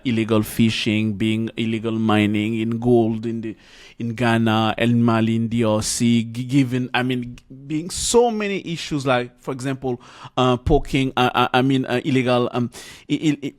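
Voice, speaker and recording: A man hosting a reflective conversation, often pausing and restarting as he searches for the right words. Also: medium pitch. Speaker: host.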